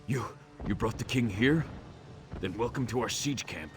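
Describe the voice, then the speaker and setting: Man as a low-voiced, gruff orc siege commander. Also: moderate pace, close to an everyday voice. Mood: weary, blunt. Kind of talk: video game character dialogue. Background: music.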